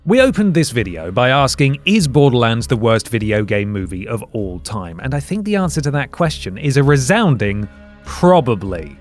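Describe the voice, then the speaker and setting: A man reads a scripted critique in a dry, sardonic tone. His voice sits in an ordinary middle pitch. Soft music plays faintly beneath him. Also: sing-song, moderate pace. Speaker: video game critic narrator. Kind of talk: scripted video essay narration. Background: faint background music.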